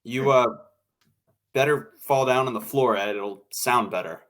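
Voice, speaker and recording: Man playing bossy mid-pitched radio producer George. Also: measured pace, a bit bright, natural voice. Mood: instructing. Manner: bossy, instructing, impatient. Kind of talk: old-time 1940s radio play acting.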